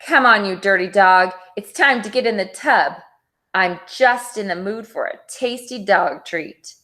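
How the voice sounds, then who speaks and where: A woman's voice: medium pitch, animated, measured pace, sharp tone. A woman voicing a sly, hungry, sentimental big bad wolf in a children's storybook. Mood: sly, menacing.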